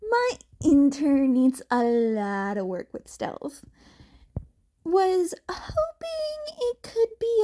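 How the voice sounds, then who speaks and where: A woman's voice: high-pitched, dark tone, voice pushed up, exaggerated voice. A woman voicing a cocky, blunt young hero woman who teases informally in an audio story. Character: brash, teasing pro-hero woman under thirty.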